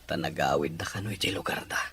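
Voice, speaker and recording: A man playing a despairing, remorseful father just out of prison in an audio drama, sighing and pleading haltingly. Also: low voice, pushed and bright, slight background noise. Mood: weary, ashamed. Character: remorseful, despairing ex-convict father returning home. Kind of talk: emotional Ilocano audio drama acting.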